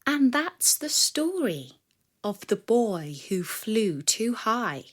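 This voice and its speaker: Female storyteller for children's podcast. Unhurried, expressive narration with wide, lively intonation. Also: fairly high pitch. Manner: expressive, dramatic delivery.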